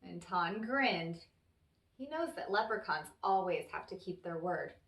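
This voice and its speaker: A woman reading a children's story aloud as an expressive storyteller. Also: on the low side, measured pace. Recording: roomy, echoey, distant microphone.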